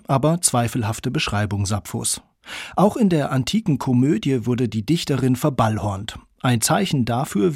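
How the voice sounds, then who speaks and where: A male reporter narrates a scripted documentary. His voice sits at middle pitch with a slight huskiness, and he delivers each phrase articulately in a close, dry studio sound. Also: a little mellow, slightly rough, no echo.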